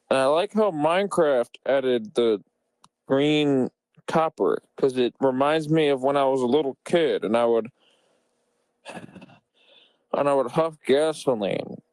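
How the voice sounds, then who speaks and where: A man's voice: mid-range voice, darkish tone, no room sound, rough recording. A young man on a podcast improvising absurd comic memories, earnest and repetitive in simple sentences. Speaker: podcast member. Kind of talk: improvised deadpan comedy storytelling.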